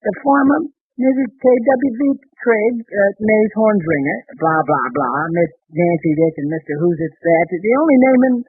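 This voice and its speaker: A man playing an excitable, bumbling young husband in a 1930s radio comedy, sputtering and hesitant. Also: sits high, normal speed, few pauses, slightly rough, dry recording. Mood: scornful, amused. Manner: sputtering, hesitant, easily flustered. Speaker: sitcom husband. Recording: muffled, narrow-band 1930s radio recording.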